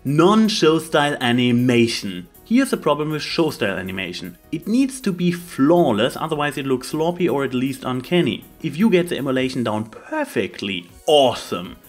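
A man's voice: mid-range voice, very animated, moderate pace, few pauses. A man reading scripted opinion commentary as a video host, making his points with emphatic lists. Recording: music behind the voice. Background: background music.

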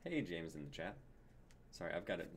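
A man's voice: sits low, very animated, fairly quick, creaky, a little hiss or hum. A man in his twenties casually hosting a live stream on a group video call. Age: 20s. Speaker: stream host.

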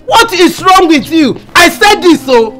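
Scolding mother played by a woman, high pitched, mocks her daughter's words. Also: sing-song, a little slow, fairly bright tone, faint music behind, exaggerated voice. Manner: scolding, mocking repetition.

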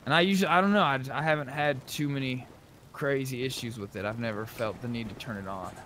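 A man's voice: medium pitch, quick pace, a bit bright. A man chatting casually with other players during an online roleplay game. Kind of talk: casual gaming chat with in-character roleplay. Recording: faint background noise, game ambience.

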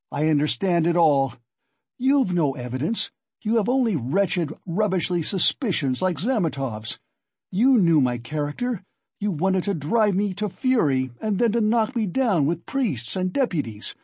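Male reader as a cornered young man, feverish, defiant, raised pitch, husky edge, short demanding bursts, audiobook drama. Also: very animated, unhurried, darkish tone, no room sound, full character voice. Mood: furious, defiant. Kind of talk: audiobook character dialogue.

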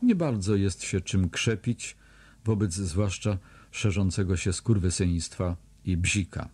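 A man with a husky, somewhat low voice reads diary entries aloud for an audiobook. His tone is dark and mellow, and his delivery is dry and sardonic, biting down on every jab. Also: slow pace. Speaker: audiobook reader.

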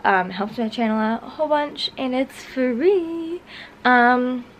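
A woman's voice: high-pitched, slow pace, a little hiss or hum. A young woman with a stuffy nose, chatting casually to camera in her apartment, with lots of fillers. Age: young adult. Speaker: vlogger. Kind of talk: casual vlog chat. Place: small apartment, indoors.

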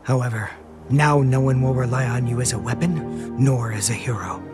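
A solemn, regretful male mage played by a man speaks in formal, carefully measured phrases at a middle pitch. It sounds like an animated game cutscene, with clear dramatic music behind him. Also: natural voice. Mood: regretful, determined. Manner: formal, measured, articulate wording.

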